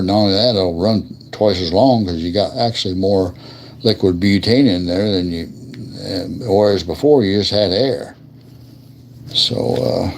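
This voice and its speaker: A man with a deep voice chatting casually and in a folksy, colloquial way as he hosts a hands-on demonstration of lighter repair. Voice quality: gravelly. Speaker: host of a lighter repair livestream.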